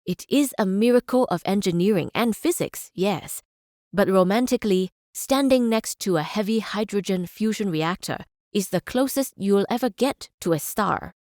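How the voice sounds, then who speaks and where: Woman playing a measured scholarly stateswoman, dry sound. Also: mid-range voice, a little mellow, dry recording, close to an everyday voice. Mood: reflective. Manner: formal, deliberate, philosophical. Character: measured, idealistic female scholar-chancellor and stateswoman. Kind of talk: scripted game character speeches and lectures.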